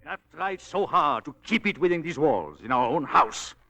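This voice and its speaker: An actor playing a controlling, manipulative husband with smooth condescension, in old-time radio drama style. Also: male voice, on the high side, animated, moderate pace, sharp tone. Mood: angry, accusing. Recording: vintage radio recording, orchestral music.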